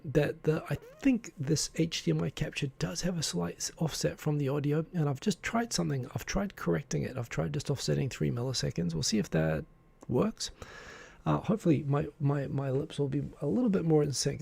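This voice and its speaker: A man's voice: on the high side, gravelly, mellow, husky. A man with an Australian accent hosting a casual live stream, chatting with viewers and joking at his own expense into a desk microphone. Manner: chatty, self-deprecating, greets viewers from chat. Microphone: desk microphone in front of him.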